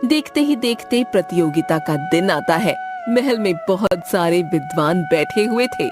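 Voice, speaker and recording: Woman narrator telling a moral story, really animated tone, music under her. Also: fairly low pitch, a little slow. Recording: music behind the voice.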